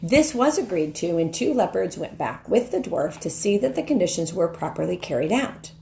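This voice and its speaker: A middle-aged woman reading a children's story aloud as a teacher, in a deliberate, dramatic narrating style. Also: on the low side, very animated, creaky, dark tone, slight background noise. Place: small wood-ceilinged room indoors. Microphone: handheld phone at arm's length.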